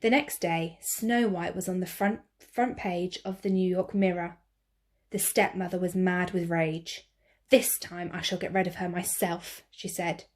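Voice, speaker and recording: Expressive woman reading a storybook. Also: fairly low pitch. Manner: slow, clear and expressive. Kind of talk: picture-book read-aloud.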